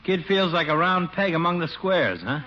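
An actor playing a brash, wisecracking small-town barber with slangy, folksy patter in 1940s radio comedy. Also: male voice, a little high, animated, normal speed, close to an everyday voice. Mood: jovial, teasing. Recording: muffled, noisy old radio recording.

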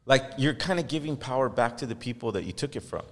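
A man's voice: mid-range voice, lively intonation, fast talker. A man hosting a podcast in heated, casual talk, impassioned and profane. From Los Angeles. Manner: impassioned, profane, mocks others in quoted voices. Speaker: podcast host. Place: podcast studio. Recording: long hall reverb, faint music.

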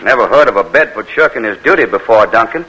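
An eager young militia volunteer with a folksy drawl. His voice is bright and forceful, and his speech is blunt and rustic, like a vintage radio play. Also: lowish voice, lively intonation, fast talker, breathy edge, heavily acted, rough recording. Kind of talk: 1940s radio acting.

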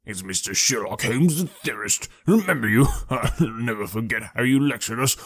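A stout, blustering police detective from a storybook. His voice is muffled, husky and wheezy, pitched a little above the reader's own, in a dry, close recording. He is pompous and hearty, repeating his phrases with great self-satisfaction. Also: animated, normal speed, fairly bright tone, dry recording.

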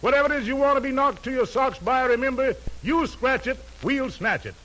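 Man, American, very high pitch, 1940s radio emcee, slangy showy delivery, vintage recording. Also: a little fast, fairly bright tone, rough recording. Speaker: radio host.

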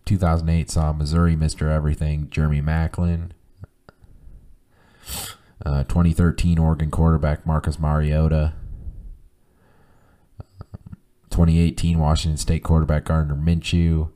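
Male podcast host with a hoarse flu voice and a dark, mellow tone that barely rises or falls; hesitant, filler-heavy, runs through stat lists. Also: extremely low pitch, monotone. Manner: halting, many ums and uhs, reads off lists of stats. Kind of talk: casual solo podcast talk.

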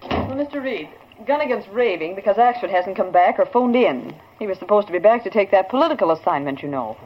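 An actress playing an efficient, concerned office secretary in a 1940s radio drama, polite and earnest. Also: female voice, medium pitch, expressive, a little fast, slight background noise, close to an everyday voice. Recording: dull vintage radio recording.

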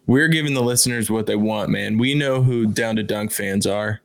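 A man's voice: on the low side, moderate pace, gravelly, no echo. A man co-hosting a podcast in casual, joking banter. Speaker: podcast co-host.

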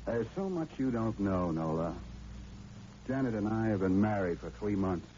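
An actor playing a calculating man in a vintage radio drama, lowish and mellow in voice. He accuses quietly, with measured insinuation. The old recording is muffled. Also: animated, slight background noise, natural voice. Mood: quietly gloating.

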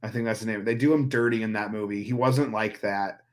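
A man's voice: lowish voice, fairly even intonation, brisk. A man, chatting casually as a podcast guest in a rambling, profane, riled-up way. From Northern California. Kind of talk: casual chat.

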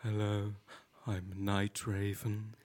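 An imposing, tattered night raven, played by a man, speaks low and very slowly. The delivery is solemn, cagey and deadpan, with halting phrases, as a comedy podcast creature. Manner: slow, halting, deadpan self-introduction. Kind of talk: improvised comedy podcast character interview.

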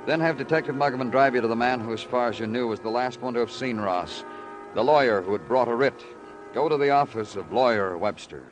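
An actor playing a brooding, sardonic police detective in an old-time radio crime drama, terse in questioning and somber in narration. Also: male voice, medium pitch, fairly quick, over music, lightly acted. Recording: vintage radio recording with musical underscore.

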